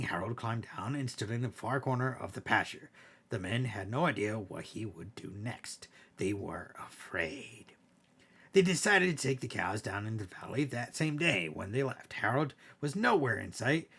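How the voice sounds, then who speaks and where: A man reads a scary bedtime story aloud. His voice is low, thick with fry and raspy. He performs it dramatically, letting the ends of phrases sink into a hush. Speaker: story reader, host of a bedtime story show. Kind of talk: expressive read-aloud horror storytelling.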